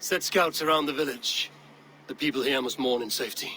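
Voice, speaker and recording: An actor playing a steady, commanding male Viking clan leader in a game cutscene. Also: medium pitch, brisk, a little hiss or hum, lightly acted, poor audio. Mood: resolute. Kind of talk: video game cutscene acting.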